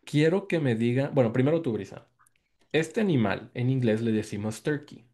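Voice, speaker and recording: Mexican man, guest lecturer, dark mellow tone, patient and repetitive, heard through a call mic. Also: mid-range voice, expressive, normal speed. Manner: explanatory, repeats key words and checks in with "¿no?". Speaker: guest lecturer presenting research. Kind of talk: academic presentation on a video call. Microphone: video call microphone.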